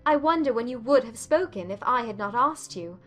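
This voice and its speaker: A woman acts a spirited young gentlewoman from a period romance audiobook. Her voice is fairly high, witty and teasing, with music playing behind it. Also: animated, fairly quick. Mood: playful, teasing.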